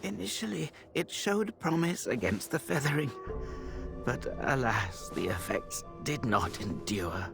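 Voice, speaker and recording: An actor playing a grave, scholarly male healer in a video game, speaking in a solemn, measured, formal manner. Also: mid-range voice, gravelly, music in the background. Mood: sorrowful, grave. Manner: solemn, measured, formal old-fashioned phrasing. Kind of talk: video game cutscene acting.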